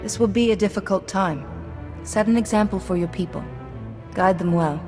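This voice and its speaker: An actress playing a calm, authoritative female Jedi diplomat in a video game, speaking in a measured, formal way. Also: mid-range voice, close to an everyday voice. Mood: solemn. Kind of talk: video game dialogue acting. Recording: long hall reverb, music behind.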